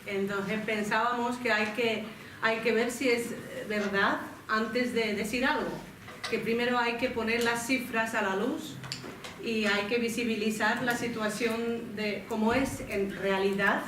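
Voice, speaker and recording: A woman from Finland with a Finnish accent, moderating a panel in her second language, pausing and correcting herself as she searches for words. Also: fairly high pitch, fairly even intonation. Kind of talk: panel talk to an audience. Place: lecture room. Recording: echoey room, mic at a distance, noisy.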